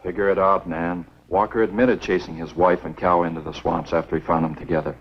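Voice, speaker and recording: An actor playing a stubborn, skeptical American game warden in a 1950s horror film, firm and matter-of-fact. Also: male voice, low voice, steady tone, fairly quick, husky. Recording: dull, lo-fi 1950s film soundtrack.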